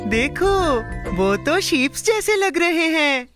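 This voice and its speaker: A woman voicing a cheerful, imaginative little boy in a children's cartoon dub, childlike and expressive. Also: very high-pitched, slow pace, music in the background, exaggerated voice. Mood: playful, dreamy.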